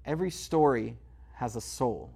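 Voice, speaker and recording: A man performing theatrical spoken word, telling a story with a dramatic rhythm and sharp swings in loudness. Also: medium pitch, moderate pace, vocal fry, husky.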